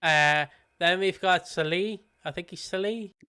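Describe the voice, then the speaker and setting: High, smooth-voiced British man. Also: a little slow, sharp tone. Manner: giggly, teasing, reads out notes. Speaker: podcast co-host. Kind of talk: casual chat.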